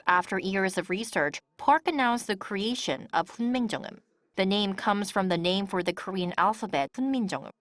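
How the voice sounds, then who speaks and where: A woman's voice: fairly low pitch, no room sound, clean studio sound. A woman reporter delivering scripted narration for a television news feature.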